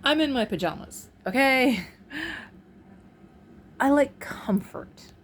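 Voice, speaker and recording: A woman with a deep voice talking candidly in a personal vlog, frank and halting, with pauses and restarts, recorded at home on a phone camera. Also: expressive, slight background noise. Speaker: vlogger. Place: at home, indoors. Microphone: phone camera, recorded in portrait mode.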